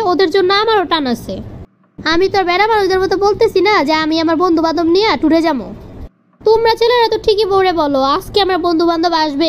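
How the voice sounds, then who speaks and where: Casting: female actor as demanding young cartoon wife, extremely high-pitched, Bangladeshi accent, scolding and insistent. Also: a little hiss or hum. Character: bossy, demanding young wife devoted to her girlfriends. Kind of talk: comic cartoon dialogue in colloquial dialect.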